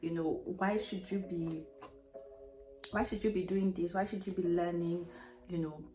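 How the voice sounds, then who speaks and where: Woman, Nigerian accent, young adult, casual storytelling, mellow low voice, roomy echo. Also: steady tone, unhurried. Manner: chatty, self-mocking, laughs often. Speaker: vlogger telling a story. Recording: echoey room, faint music behind.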